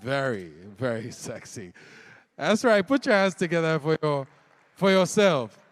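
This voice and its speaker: A man's voice: fairly high pitch, very animated, measured pace, no echo. A Nigerian man with a Nigerian accent, hosting a live stage event and hyping up the crowd.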